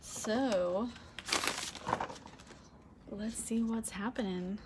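Soft-spoken woman with a husky, fading voice. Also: extremely slow, very noisy. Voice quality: low, husky voice. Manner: soft-spoken, keeps checking in with "okay?". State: losing her voice, clearing throat. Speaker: tarot reader. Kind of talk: casual tarot reading to camera. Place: indoor room, red curtains and candles on a table. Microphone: broken microphone, quiet.

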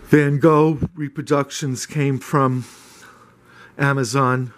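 A man walks through his small wood-paneled cottage with a handheld camera, narrating a casual house tour. His voice stays flat and monotone, with little rise or fall. He talks haltingly, with frequent fillers and restarts. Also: mid-range voice. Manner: hesitant, many "uh" fillers and restarts. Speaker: homeowner giving a house tour.

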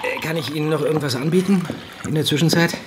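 A man's voice: a little high, fast, heavy background noise, close to an everyday voice. An actor playing a courteous, businesslike male agency organiser in a naturalistic audio drama. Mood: courteous, brisk. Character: smooth, businesslike agency man, formally courteous.

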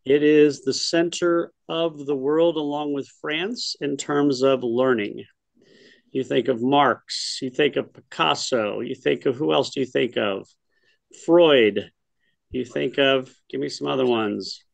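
A middle-aged man lectures an adult class from his home study over a webcam call. His voice is heavily gravelly, the sound close and dry with no room around it. He thinks aloud as he goes, corrects himself and throws questions to the class. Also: medium pitch, takes it slowly, no room sound. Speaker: teacher of an adult history class. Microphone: webcam on a video call.

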